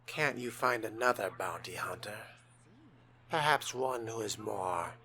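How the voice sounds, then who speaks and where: A man role-playing a cool, courteous vampire fledgling in a video game, speaking in a measured, dry way with some breathiness. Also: on the low side, sharp tone, a little hiss or hum. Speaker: player voicing his vampire character.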